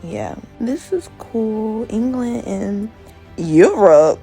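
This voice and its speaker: A woman's voice: medium pitch, expressive, slow, faint music behind, rough recording. A woman chatting casually in a hesitant, trailing-off manner. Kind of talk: casual chat, reacting to results.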